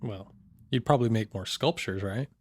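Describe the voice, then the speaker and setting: A cynical computer hacker played by an actor in a naturalistic audio drama. The delivery is dry, blunt and jaded, at a middling pitch, recorded close with no room sound. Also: moderate pace, dry recording, natural voice. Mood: relaxed.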